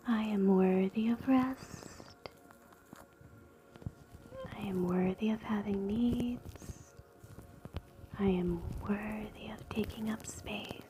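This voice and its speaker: Slow soft-whispering woman, close-miked. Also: medium pitch, noisy background. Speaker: ASMR host. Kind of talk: whispered ASMR affirmations. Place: home, indoors.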